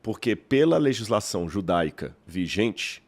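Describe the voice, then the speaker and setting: A man from Minas Gerais with a mineiro accent, explaining as a guest in a measured, lecturing way and posing rhetorical questions. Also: fairly low pitch, very animated, a little mellow. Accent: Mineiro Brazilian Portuguese. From Minas Gerais, Brazil. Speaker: guest scholar. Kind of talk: explanatory podcast interview.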